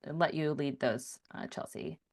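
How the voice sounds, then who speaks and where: A woman with a somewhat low voice moderates an online Q&A session. She reads out questions from attendees in an informal way, sums up the point and organizes who should take it. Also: fairly even intonation, moderate pace, darkish tone. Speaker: webinar moderator. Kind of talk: reading out and fielding attendee questions.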